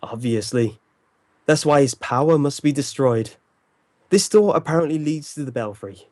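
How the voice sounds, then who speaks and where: An actor playing an incisive, stern detective in an old-time radio drama, speaking with formal, theatrical authority. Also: male voice, medium pitch, lively intonation, moderate pace, darkish tone, no echo, lightly acted. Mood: assured, resolute. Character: commanding, incisive male detective, calm and stern.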